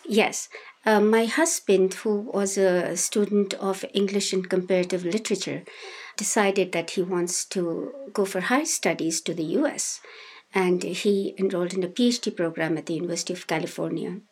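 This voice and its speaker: A woman in her sixties from India, answering interview questions in a thoughtful, hesitant way with many pauses and restarts. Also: lowish voice, fairly even intonation, a little mellow, husky. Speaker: guest, domestic violence shelter worker.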